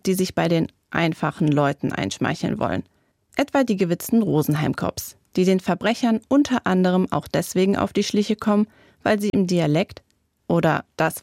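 A woman reading her own radio commentary in a measured, reflective and lightly ironic way. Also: fairly low pitch, creaky, a little mellow, no room sound. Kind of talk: scripted radio essay. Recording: dry studio recording.

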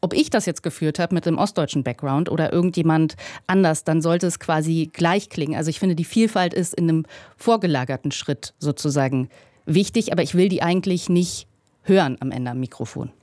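A woman journalist from eastern Germany speaks in a lowish, mellow voice. She builds an articulate, reasoned argument on a panel. The sound is dry and close. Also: a little fast, dry recording. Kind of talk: panel discussion.

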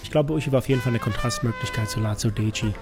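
A man's voice: mid-range voice, very fast, over loud music. A man from New York with an American accent, explaining informally in an interview as a non-native speaker with slightly unidiomatic phrasing. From New York City, USA. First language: English. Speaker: concert series organiser and interpreter.

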